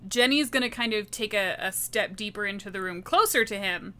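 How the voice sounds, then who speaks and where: Bright-voiced woman playing a tabletop game. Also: mid-range voice, brisk. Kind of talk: narrating her character's actions.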